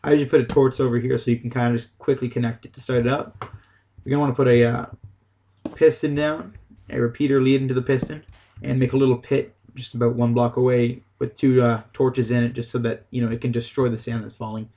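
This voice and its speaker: A man's voice: medium pitch, flat delivery, fast talker, mellow, slight background noise. A man giving a casual, unscripted game tutorial, explaining things in a loose, hesitant way. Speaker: gaming tutorial host.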